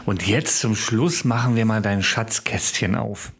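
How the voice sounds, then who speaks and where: A man's voice: fairly low pitch, a little slow, fairly bright tone, husky. A man hosting a podcast interview in a casual, informal, chatty conversational way.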